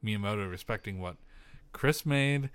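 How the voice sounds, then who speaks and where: A man's voice: fairly low pitch, moderate pace, fairly bright tone, clean tone, dry recording. A man co-hosting a casual movie podcast, telling a long rambling story with jokey asides while losing his voice. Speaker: podcast co-host.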